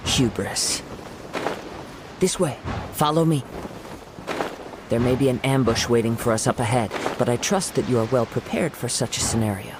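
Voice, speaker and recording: A man voicing a calm, courteous young wandering swordsman in a video game, with composed, formal and poetic delivery. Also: mid-range voice, normal speed, long pauses, background noise, lightly acted. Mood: calm, guiding. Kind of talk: understated video game voice acting.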